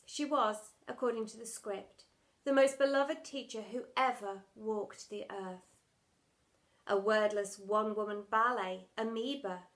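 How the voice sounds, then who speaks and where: A woman narrating a children's storybook, reading scripted text as a primary school storyteller. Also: a little high.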